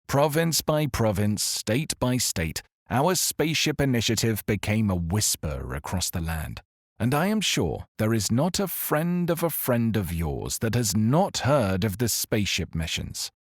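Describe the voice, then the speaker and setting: Male actor as exiled therapist, audio drama confession, slow deliberate delivery, solemn, pleading, wide expressive intonation, dramatic pauses. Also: lowish voice, no room sound, studio quality. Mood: earnest, justifying. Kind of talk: dramatic scripted monologue, audio fiction.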